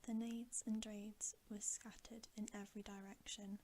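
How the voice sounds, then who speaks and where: A woman reading a bedtime story as ASMR in a soft, breathy whisper, gentle and soothing. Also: medium pitch, little pitch movement, unhurried.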